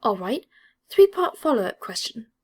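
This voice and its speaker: A woman's voice: on the high side, sing-song, moderate pace, husky. Woman voicing a cool, dry-witted schoolboy. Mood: dry bafflement. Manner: cool, dry, matter-of-fact. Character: aloof, clever, unflappable schoolboy with dry wit.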